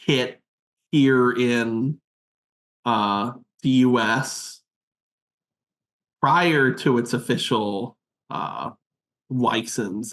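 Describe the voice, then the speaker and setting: A male podcast host talks slowly and without hurry. He reads out facts and drops deadpan asides. His pitch sits in the ordinary middle for a man. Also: rough recording. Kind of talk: casual group chat.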